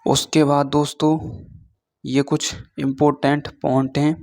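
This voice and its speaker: A man giving an informal explainer lesson as an online teacher, reading through points haltingly and often checking in with short tag words. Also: on the high side, flat delivery, a little mellow. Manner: switches between Hindi and halting read-out English.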